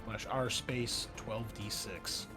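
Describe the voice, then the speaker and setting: A man's voice: fairly low pitch, takes it slowly, music in the background. A man acting as game master, narrating and refereeing a tabletop roleplaying game in an informal, conversational way.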